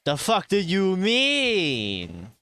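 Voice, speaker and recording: A man's voice: high-pitched, wildly swooping pitch, extremely slow, bright, forceful tone, no echo. A young man of Thai heritage chatting casually on a live stream, reading chat aloud, swearing and laughing often. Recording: faint music behind.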